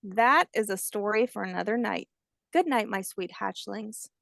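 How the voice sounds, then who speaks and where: A woman's voice: medium pitch, moderate pace, vocal fry, no room sound. Woman reading as kindly old grandmother alligator, close and dry. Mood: tender. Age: older. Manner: gentle, patient storybook telling.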